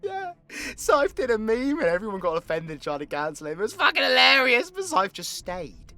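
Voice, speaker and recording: A man's voice: very high-pitched, huge pitch swings, fairly quick, creaky, pushed and bright, faint music behind, heavily acted. A man with a British accent playing a cocky, foul-mouthed, sarcastic supervillain who tells stories in an audio roleplay. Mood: mocking, animated. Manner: cheeky, sweary, colloquial, theatrical swings into exclamation. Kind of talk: villain audio roleplay, rambling comedic storytelling.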